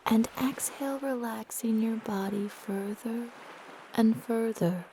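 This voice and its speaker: A woman narrating a guided meditation in a soft, breathy, gentle and soothing manner with long pauses. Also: a little high, slight background noise, poor audio.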